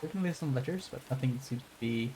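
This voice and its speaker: A man's voice: mid-range voice, fast, dark tone, slight background noise, rough recording. A man streaming a game playthrough, rambling with wry asides and reading on-screen text aloud. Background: game music.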